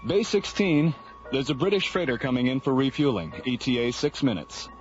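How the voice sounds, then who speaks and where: An actor playing an efficient, affable space station controller in a radio drama, businesslike yet friendly. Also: male voice, mid-range voice, expressive, moderate pace, natural voice. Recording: dull old radio drama, music behind.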